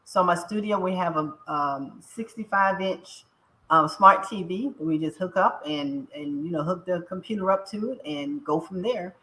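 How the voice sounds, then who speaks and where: Woman, guest, casual, friendly, bright tone. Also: fairly low pitch, lively intonation, moderate pace, rough recording. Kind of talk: live-stream talk show.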